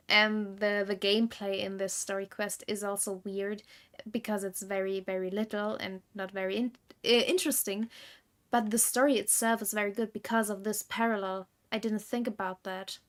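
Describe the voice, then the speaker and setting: A woman giving casual live game commentary, thinking aloud in a halting, reflective way. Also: medium pitch. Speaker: game streamer.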